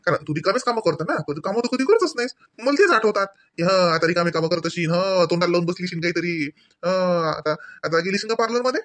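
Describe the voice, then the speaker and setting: A man's voice: high-pitched, lively intonation, fast talker, a bit bright. Man voicing a teasing, fond cartoon village husband. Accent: rural Marathi. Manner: teasing, chatty. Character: teasing, affectionate young husband in a village family. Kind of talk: comedy cartoon dialogue.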